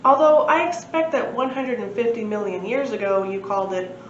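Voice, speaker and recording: A woman's voice: a little high, a little fast, natural voice. A woman reading a storybook, voicing a bewildered, polite father lost in time, earnest, conversational and questioning. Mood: puzzled, polite. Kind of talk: storybook read-aloud. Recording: echoey room, muffled, faint noise.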